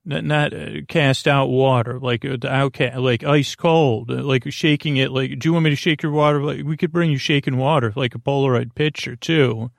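A man with a creaky voice hosting a bedtime podcast, talking in a meandering, halting, digressive monologue. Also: mid-range voice, no echo, studio quality. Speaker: podcast host.

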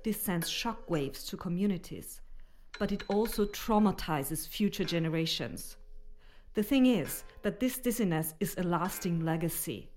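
A woman's voice: lowish voice, a little slow, darkish tone, soft background music. Articulate woman in prepared discussion.

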